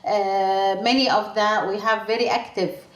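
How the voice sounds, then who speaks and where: A woman's voice: mid-range voice, fairly even intonation, unhurried. Syrian-born woman executive, hesitant and roomy. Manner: hesitant, many fillers and restarts. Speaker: hospital chief executive. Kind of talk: interview answers. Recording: roomy echo.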